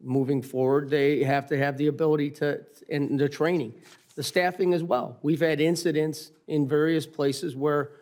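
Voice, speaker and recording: Even-toned American man testifying haltingly. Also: a little high. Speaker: technicians' representative testifying as witness. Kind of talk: unscripted formal testimony.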